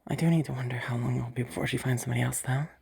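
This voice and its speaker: A woman's voice: a bass voice, steady tone, very fast, creaky, a little mellow, breathy edge, exaggerated voice. A woman voicing a cold, calculating rich sociopath who mutters her schemes to herself with dry laughs, frequent whispers and swearing. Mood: brooding. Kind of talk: audio roleplay monologue.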